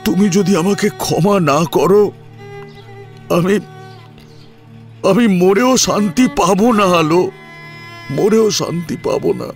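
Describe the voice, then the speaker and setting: A man acting an elderly father-in-law, head of the household, in a dramatised audio story, speaking haltingly. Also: sits high, soft background music, pitched above the performer's own voice. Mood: tearful remorse. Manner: halting, repeats words when moved.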